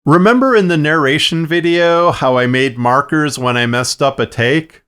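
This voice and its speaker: A man narrating a tutorial in a measured, plain-spoken, instructional way, explaining his production process step by step. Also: on the high side, fairly bright tone, smooth. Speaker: fiction podcast producer and narrator. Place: acoustically treated recording space.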